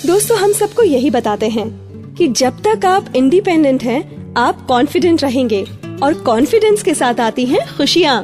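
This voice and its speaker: A woman's voice: high-pitched, very animated, normal speed, darkish tone, soft background music. A woman hosting a radio show, reading a script in a conversational way that addresses listeners directly.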